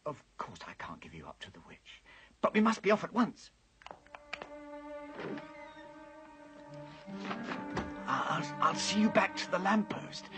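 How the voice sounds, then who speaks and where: A timid, kindly faun from a fantasy cartoon. The voice is mid-to-high and swings widely with guilt and worry, often dropping toward a hush, with music underneath. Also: fairly quick. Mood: hushed, fearful. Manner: hushed, anxious, expressive, trailing into whispers. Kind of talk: animated fantasy film acting.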